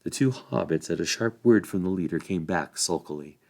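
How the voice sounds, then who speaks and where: A man reading a novel aloud as expressive bedtime storybook narration. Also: sits low, a little fast, creaky. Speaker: volunteer story reader.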